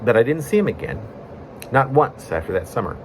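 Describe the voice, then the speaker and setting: A man reading his own poem in a conversational storytelling way, breaking it into short phrases with pauses. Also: medium pitch, sing-song, a little hiss or hum. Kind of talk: spoken-word poetry reading, conversational storytelling.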